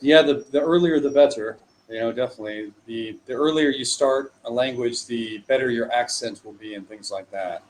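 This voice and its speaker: A man's voice: medium pitch, moderate pace, few pauses, rough recording. Young American man tutoring on a video call. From USA. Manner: friendly, encouraging, chatty with fillers. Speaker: English tutor. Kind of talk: casual online lesson chat.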